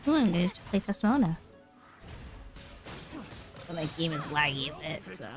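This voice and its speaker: A woman's voice: deep voice, big pitch swings, moderate pace. A woman streaming a video game, casually commenting and reading dialogue aloud on a close mic. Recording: dry, faint game music behind.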